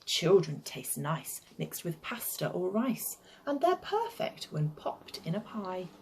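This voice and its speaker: A woman's voice: fairly low pitch, big pitch swings, slow pace, gravelly, slight background noise, exaggerated voice, rough recording. A woman voicing a grumpy old male giant, greedy and menacing, chanting in rhyme for a children's storybook. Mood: menacing, gloating.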